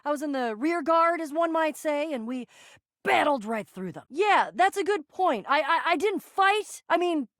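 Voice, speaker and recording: A woman voicing an excitable, bragging adventurer in a video game, earnest and theatrical, often stammering. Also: high-pitched, moderate pace, no echo, studio quality. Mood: excited, boastful. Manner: stammering, theatrical, swinging from gushing to sulky. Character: excitable, bragging adventurer, a living household object, earnest and dramatic. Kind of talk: video game character voice acting.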